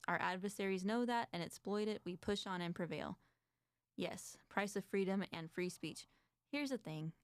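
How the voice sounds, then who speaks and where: A woman's voice: medium pitch, moderate pace, dry recording. A woman co-hosting an advocacy talk show, chatting casually and reading documents aloud with commentary. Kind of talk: casual discussion.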